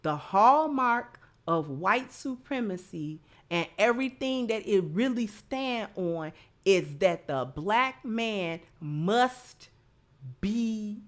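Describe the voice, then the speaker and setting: A woman giving opinionated live commentary as a host, emphatic, repeating phrases and posing rhetorical questions. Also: on the low side. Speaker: livestream host.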